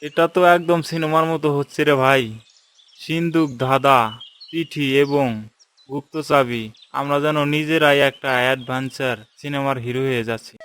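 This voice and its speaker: A man's voice: mid-range voice, steady tone, measured pace, a bit bright, clear, resonant tone, close to an everyday voice. A man voicing an eager, amazed young adventurer in a cartoon fairy tale, talking informally.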